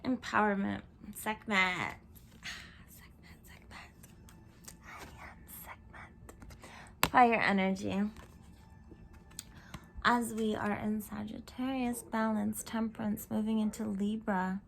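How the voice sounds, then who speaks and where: A woman giving a spiritual tarot reading at home into her camera. She talks in a croaky, meandering, reflective way, full of fillers, and often drops into whispers. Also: mid-range voice, steady tone, slow, pauses often, a little hiss or hum. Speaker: tarot reader. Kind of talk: spiritual card reading monologue. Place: home room. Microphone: camera mic.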